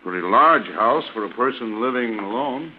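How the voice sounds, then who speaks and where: An actor playing a polite, probing small-town official with a hesitant, matter-of-fact way of questioning, in an old-time radio suspense drama. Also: male voice, medium pitch, lively intonation, normal speed, close to an everyday voice. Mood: probing, puzzled. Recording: noisy, tinny vintage radio broadcast.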